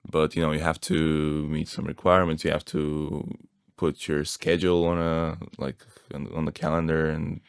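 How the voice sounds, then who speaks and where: A young Bulgarian man with an extremely low voice and a gravelly fry. He talks in an easy, relaxed way, laughs often, and restarts his phrases. The recording is close and dry. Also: moderate pace, hardly any pauses, no room sound. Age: young adult. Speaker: guest. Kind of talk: casual podcast chat.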